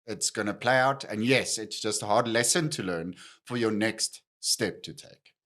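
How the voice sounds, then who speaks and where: A man's voice: lowish voice, normal speed, fairly bright tone. A man co-hosting a podcast, blunt and casually profane in conversation. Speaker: podcast co-host.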